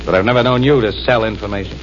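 An actor playing a tough, wisecracking male newspaper photographer in a 1940s radio drama, with a gruff, clipped delivery. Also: on the low side, quick pace, background noise, natural voice. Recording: muffled old radio transcription with music.

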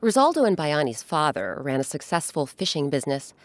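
A woman's voice: low voice, big pitch swings, a little fast, no echo. A woman hosting and narrating a children's story, with dramatic, emphatic phrasing. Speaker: host and narrator.

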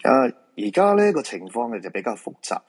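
A man's voice: mid-range voice, very animated, fairly quick, husky. A man playing a polite, patient feng shui master in a radio drama, explaining things reassuringly with a Hong Kong Cantonese accent. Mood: calm, reassuring.

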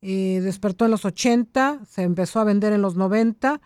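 A woman's voice: medium pitch, moderate pace, clear, resonant tone, no room sound. Chatty woman hosting a radio show. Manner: warm chatty interviewer, frequent "ujú" backchannels. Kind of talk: casual radio interview. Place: university radio studio. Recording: dry radio studio.

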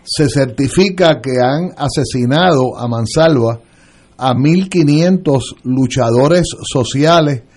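A man's voice: medium pitch, takes it slowly, darkish tone, husky. A man, a lawyer speaking as a guest on a political radio panel, hesitating often with filler sounds and restarts.

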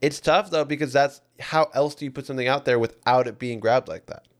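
A man's voice: mid-range voice, fairly quick. A man co-hosting a podcast in casual chat, talking in an agreeable, slangy, conversational way. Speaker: podcast co-host. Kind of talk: casual chat about music and celebrities.

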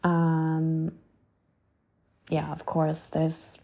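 Slow, gravelly woman musing. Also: low-pitched, completely flat delivery. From South Africa. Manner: musing, rambling, full of fillers and soft whispered asides. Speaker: podcast host. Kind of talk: casual reflective monologue.

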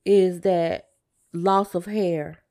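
A woman's voice: fairly low pitch, fairly even intonation, very slow, mellow. A woman co-hosting a podcast in a casual, conversational style. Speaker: podcast co-host.

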